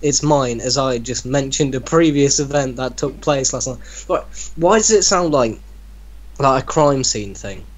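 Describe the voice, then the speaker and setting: A man's voice: medium pitch, expressive, moderate pace. A man giving a dramatic reading of an amateur story, breaking off into casual, mocking banter. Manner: breaks off to mock the text he reads. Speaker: reader in an online reaction group.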